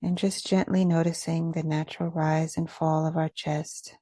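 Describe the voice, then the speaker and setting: A woman leading a guided meditation in a gentle, measured, instructional way. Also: sits low, little pitch movement, no room sound, studio quality.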